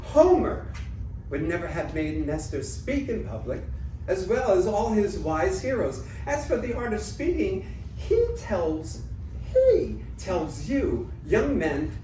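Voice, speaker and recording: A woman's voice: mid-range voice, warm tone. A woman reading the part of a cocky, scornful, quibbling debater in a staged reading of ancient comedy, with a theatrical, mocking delivery. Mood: argumentative. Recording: noisy, echoey room, distant mic.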